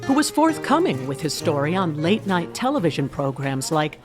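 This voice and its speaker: A woman delivers scripted documentary narration with an animated, varied pitch. Her voice is mid-range, and music plays clearly behind her. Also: moderate pace.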